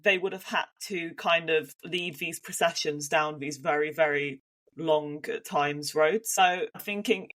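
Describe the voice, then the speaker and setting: A woman's voice: low-pitched, unhurried, fairly bright tone. Thoughtful woman with a Devon accent. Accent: Devon English. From Devon, England. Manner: thoughtful, hedging with many restarts. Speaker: podcast co-host. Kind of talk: casual conversational podcast.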